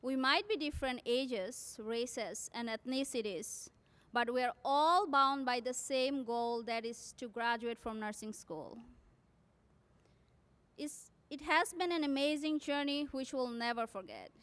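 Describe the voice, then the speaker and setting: A woman's voice: high-pitched, animated, measured pace, a bit bright, smooth. A woman from South Asia whose first language is not English, a graduating nursing student giving an earnest prepared commencement speech.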